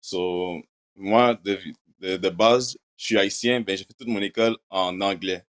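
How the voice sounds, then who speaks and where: Low-voiced young man, casual talk. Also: steady tone, a little slow, a bit bright, clear, resonant tone. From Quebec, Canada. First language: English. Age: young adult. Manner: casual, mixes English words like "so" and "like" into his French. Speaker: university football player. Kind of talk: interview answers.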